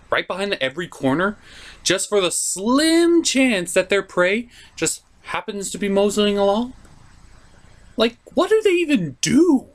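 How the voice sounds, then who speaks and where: Male comedic reviewer, lively swinging pitch, recaps a film with sarcastic, incredulous asides. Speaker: host of a movie review puppet show.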